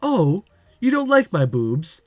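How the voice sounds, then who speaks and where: Visual novel waitress read by a man, young, courteous, unbothered, softly teasing, varied intonation over faint music. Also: high voice, wildly swooping pitch, takes it slowly, no room sound, full character voice. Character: young woman, polite, teasing waitress and childhood friend.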